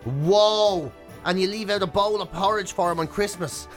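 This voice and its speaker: A man's voice: sits high, normal speed, soft background music. A man with an Irish accent co-hosting a radio show, chatting casually with a dry, rambling, teasing sense of comedy. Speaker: radio breakfast show co-host. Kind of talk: casual comic banter. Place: radio studio.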